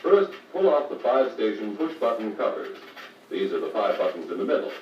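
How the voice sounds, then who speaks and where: An American actor playing a patient car radio service instructor, explaining methodically in a 1950s training filmstrip style. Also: male voice, fairly low pitch, very animated, a little fast. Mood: patient, instructive. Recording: muffled, noisy, echoey 1950 record playback, distant mic.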